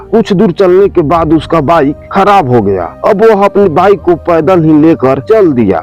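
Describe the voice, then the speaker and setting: A man narrates a scripted scary story. His voice sits on the high side for a male speaker. Music plays underneath the narration. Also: darkish tone, dull audio. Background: background music.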